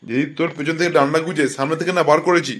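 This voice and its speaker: A man's voice: fairly high pitch, fairly even intonation, rapid-fire, noisy background, close to an everyday voice. A man voicing brash, bullying cartoon rogues, crooked cops and jailbirds, in crude hectoring slang. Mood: threatening. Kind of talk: fast comic cartoon dialogue in colloquial Bengali slang.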